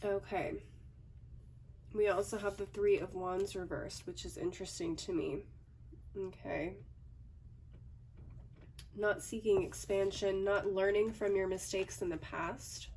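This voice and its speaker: A woman doing a casual tarot reading, her voice a little raspy from a recent cold, often pausing with "okay" and "um". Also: mid-range voice, fairly even intonation, a little mellow, distant mic, slight background noise, poor audio. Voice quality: slightly raspy. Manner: thinking aloud with frequent "okay" and "um". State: hoarse from a cold. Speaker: tarot reader.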